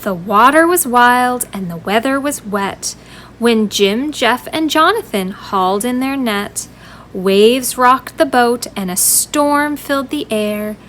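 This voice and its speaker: High, animated woman reading to children. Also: slow pace, a little hiss or hum. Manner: friendly sing-song address to small children. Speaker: children's library storytime host. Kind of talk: expressive read-aloud of a rhyming picture book for young children.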